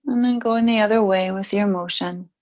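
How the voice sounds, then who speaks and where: A woman instructor guides a relaxation and gentle stretching practice. Her voice sits at a middle pitch. She offers short, soothing instructions without hurry, leaving long silences between phrases. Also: steady tone, moderate pace, muffled recording. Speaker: yoga nidra and stretch instructor.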